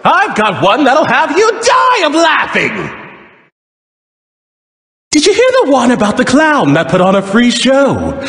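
An actor playing a mad, gleefully sinister trickster jester god for a video game, taunting in a giggly, theatrical sing-song. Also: male voice, extremely high pitch, huge pitch swings, a little slow, long pauses, pushed and bright, heavily acted. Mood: mocking. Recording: echoey hall-like reverb.

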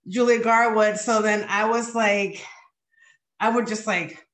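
A woman's voice: medium pitch, steady tone, unhurried, fairly bright tone. Woman from the Dominican Republic, echoey room. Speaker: romance author on a panel. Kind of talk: casual panel talk. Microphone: video call. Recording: echoey room on a video call.

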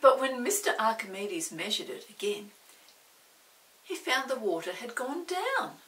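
Gentle, slow-reading woman in her sixties. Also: fairly high pitch, big pitch swings. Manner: gentle, often whispering to a puppet. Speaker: storytime host reading to children. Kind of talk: children's picture-book reading. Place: indoor room with a storybook set. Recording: roomy, distant mic, faint hiss.

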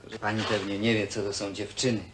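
A bitter young man from a workers' hostel, played by a film actor, with a lowish voice and a sarcastic, needling way of talking. His speech is colloquial and provoking. The old film soundtrack is clearly noisy. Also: little pitch movement, fast talker, lightly acted. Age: young adult. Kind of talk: naturalistic film dialogue.

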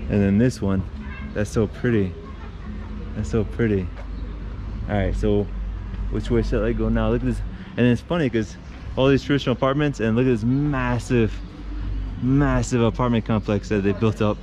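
A man's voice: fairly low pitch, normal speed. Chatty man on a noisy street. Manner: relaxed, chatty, easily impressed. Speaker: vlogger. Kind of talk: casual walking tour commentary. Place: outdoors on city streets. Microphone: action camera held at arm's length. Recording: outdoor street noise, passing cars.

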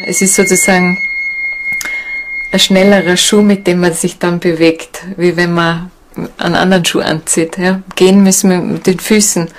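A woman talking reflectively in a documentary interview, halting and pausing to find her words. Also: fairly low pitch. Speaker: shamanic seminar leader and interviewee. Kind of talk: documentary interview, unscripted talk.

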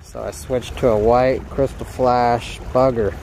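A man's voice: mid-range voice, steady tone, unhurried, background noise. An American man in his thirties chatting casually and easygoing as a fly fishing vlogger, recorded on a phone outdoors. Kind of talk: casual outdoor vlog chat. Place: outdoors beside a small creek. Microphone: phone, handheld or nearby.

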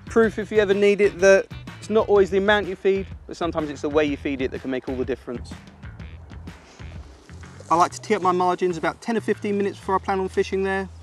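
A young man coaching listeners through an angling method in a relaxed, explanatory way, speaking partly as a voice-over. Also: a little high. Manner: relaxed explanatory, practical tips. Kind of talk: instructional voice-over and commentary. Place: outdoors at a lake.

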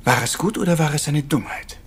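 Husky, courteous Belgian detective. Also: male voice, a little high, a little fast. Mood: brooding. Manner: formal, courteous, sprinkles in French phrases. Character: proud, brooding Belgian male detective, middle-aged, courteous. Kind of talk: retro German detective radio play acting.

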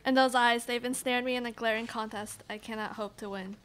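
A woman's voice: on the high side, steady tone, a little fast, natural voice. A young woman playing a teasing, dramatic college student with a florid delivery in a student radio play. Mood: admiring. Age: young adult. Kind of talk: student live radio play, read from script. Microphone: stage microphone on stand. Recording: noisy live studio pickup with clicks.

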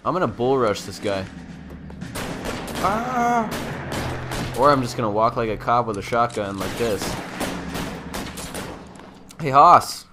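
Man, casual game commentary, middle pitch, playful exclamations, swearing, music in background. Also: sing-song, slow, long pauses. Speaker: gamer doing a video game walkthrough. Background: game music and vehicle sounds.

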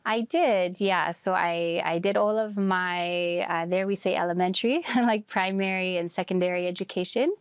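Brief: woman, 30s, from Canada, earnest guest voice, slightly low, dry close sound. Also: moderate pace, few pauses, clean tone, no room sound. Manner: gentle, earnest, with frequent soft fillers. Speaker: interview guest. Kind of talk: casual storytelling interview.

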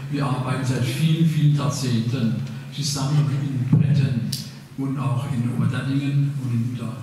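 An older man on a panel speaking calmly in support at a public meeting. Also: medium pitch, normal speed, very noisy. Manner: calm, supportive. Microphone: handheld mic. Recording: echoey hall, far off.

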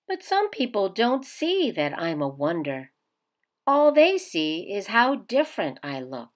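A woman reading a children's picture book aloud in an expressive way for young listeners. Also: mid-range voice, unhurried. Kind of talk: children's storybook read-aloud.

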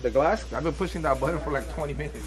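A man's voice: on the high side, racing, over music. A young man in casual, slangy chat.

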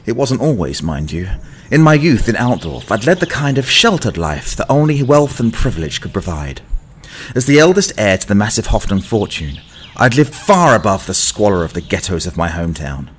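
A sardonic young adventurer of fallen nobility, acted by a man, narrating with wry drama. The voice sits fairly low yet moves expressively, with faint noise behind it. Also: big pitch swings, normal speed. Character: self-deprecating young male fallen-noble adventurer, foolhardy, sardonic. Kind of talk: first-person audio drama narration.

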